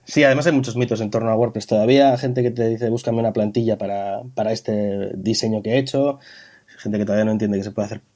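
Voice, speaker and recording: Hombre de voz cálida, invitado. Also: male voice, fairly low pitch, steady tone, fast talker, dark tone. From Bilbao, Spain. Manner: thoughtful, explanatory, with fillers. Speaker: podcast guest, web project consultant. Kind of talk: casual podcast chat.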